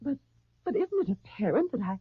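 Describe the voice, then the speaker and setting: An earnest stepmother who pleads to be understood, in 1930s radio-drama style. Her voice is husky and lively in pitch. The sound is thin and phone-like, from an old broadcast. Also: fairly quick, no room sound, exaggerated voice. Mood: pleading. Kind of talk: 1930s radio soap acting. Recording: tinny 1939 radio broadcast recording.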